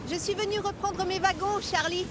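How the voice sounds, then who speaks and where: A busy, friendly cartoon lady locomotive. The voice sits extremely high. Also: fairly even intonation, moderate pace, music in the background. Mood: chatty.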